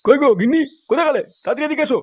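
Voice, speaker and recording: Man doing a high-voiced aggrieved cartoon husband. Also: racing, noisy background. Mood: accusing. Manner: accusing, swearing. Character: grumbling suspicious husband, crude and aggrieved. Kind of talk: comic cartoon dubbing.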